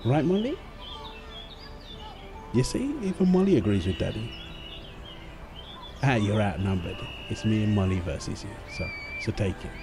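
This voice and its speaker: A man's voice: fairly low pitch, big pitch swings, normal speed, long pauses, music in the background. A man playing a doting young husband and new father, tender and playful, in an ASMR boyfriend roleplay. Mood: playful, cooing.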